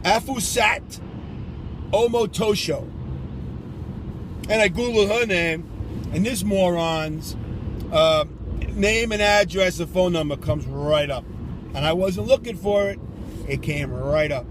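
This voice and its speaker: A man's voice: sits high, slow, pauses often, noisy background. A man giving child support advice in a casual, rambling monologue, combative in tone and full of "you know". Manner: combative, repeats himself, heavy with "you know".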